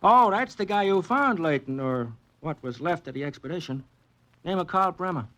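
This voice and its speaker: High-pitched, casual newsroom clerk. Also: male voice, lively intonation, normal speed, pushed and bright, low-quality recording. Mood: offhand, helpful. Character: casual, helpful male newspaper archive clerk. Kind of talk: 1940s radio drama acting.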